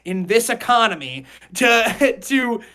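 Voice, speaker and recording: High-voiced young man, emphatic. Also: very animated, slow, pushed and bright, slight background noise. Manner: emphatic, rambling, slangy. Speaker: podcast host. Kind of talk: casual opinionated podcast discussion.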